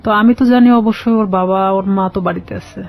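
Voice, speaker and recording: A woman with a Bangladeshi accent telling a personal story as a radio guest, halting and repeating phrases as she explains. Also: mid-range voice, steady tone, vocal fry. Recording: slightly dull, lo-fi radio sound.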